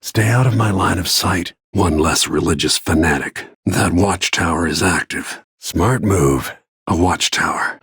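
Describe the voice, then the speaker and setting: A weathered male bodyguard turned assassin for a video game. His voice sits low and is full of gravel, with a heavily husky grain. He speaks tersely, with grim, brooding restraint. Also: unhurried, a bit bright, no room sound, studio quality, heavily acted. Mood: calm, stern.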